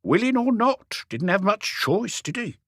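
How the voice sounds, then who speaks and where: A man's voice: high-pitched, big pitch swings, a little slow, a bit bright, dry recording, clean studio sound, voice pushed up, heavily acted. A man voicing a wry, evasive old house painter with colloquial speech, in an audiobook story. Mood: knowing, skeptical. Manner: colloquial, evasive, answers questions with questions. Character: cagey old Parisian workman, stocky house painter, wry.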